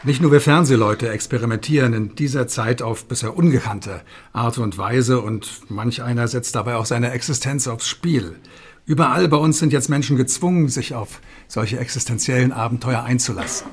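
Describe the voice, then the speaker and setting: A husky, medium-pitched man , an actor, plays a television presenter in a radio play. His delivery is formal, measured and grave, with weighty rhetorical pauses. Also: natural voice. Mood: solemn welcome. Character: solemn male television talk show host. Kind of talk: radio drama, staged studio presentation.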